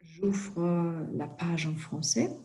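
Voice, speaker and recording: Slow, careful woman. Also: lowish voice, fairly even intonation, warm tone. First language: not French. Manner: slow, careful delivery with frequent hesitations. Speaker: presenter for a genealogy organization. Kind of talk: screen-share tutorial presentation.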